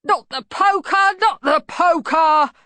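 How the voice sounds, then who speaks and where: Here is a storybook bogeyman, a put-upon monster that whines and pleads. The voice is pushed high and loud, full of easily frightened moaning. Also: animated, measured pace, no echo. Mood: panicked pleading.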